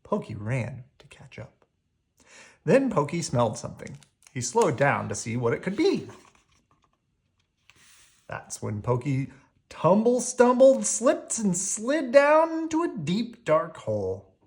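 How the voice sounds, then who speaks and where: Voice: mid-range voice, wildly swooping pitch, slow pace, long pauses, darkish tone. A storyteller reading a children's picture book aloud expressively, drawing out key words.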